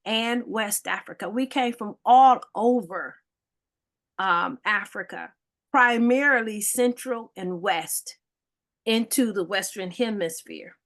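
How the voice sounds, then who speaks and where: Slow-talking woman explaining history. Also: medium pitch, fairly bright tone. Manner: explanatory, frequent ums and tag questions. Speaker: guest, foundation director. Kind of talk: interview answers.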